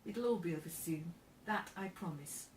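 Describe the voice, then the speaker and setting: A woman's voice: on the low side, moderate pace, natural voice. A woman voicing a cold, menacing male doctor with a curt, dismissive manner in a storybook reading. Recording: roomy, distant mic, faint background noise.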